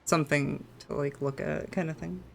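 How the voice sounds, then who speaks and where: A woman's voice: deep voice, fairly even intonation, moderate pace, gravelly, over a noisy crowd. A woman giving casual, playful running commentary as she plays a game, reacting in an offhand way. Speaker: game streamer.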